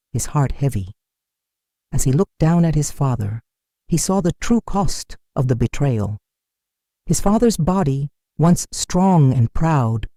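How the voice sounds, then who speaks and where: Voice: mid-range voice, mellow, no room sound. A narrator delivering a dramatic scripted folktale in a solemn, suspenseful manner.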